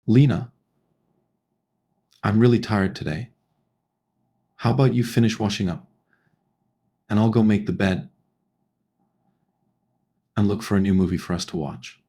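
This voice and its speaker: Guy doing a crafty sweet-talking husband in an audio story, low husky voice. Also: fairly even intonation, a little slow, pauses often, mellow. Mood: coaxing. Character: Dimitri, scheming husband sweet-talking his wife.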